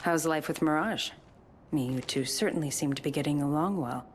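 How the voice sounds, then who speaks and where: A woman's voice: sits low, normal speed, slight background noise, natural voice. An actress voicing a cool female fighter in a video game, with dry, understated teasing. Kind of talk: game voice lines.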